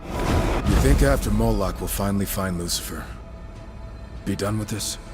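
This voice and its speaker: A man's voice: on the low side, normal speed, loud music behind the voice, close to an everyday voice. An actor playing a cocky, irreverent horseman in a video game, talking in sarcastic, breezy quips. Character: cocky, wisecracking male horseman, irreverent, impatient gunslinger type. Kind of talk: video game cutscene acting.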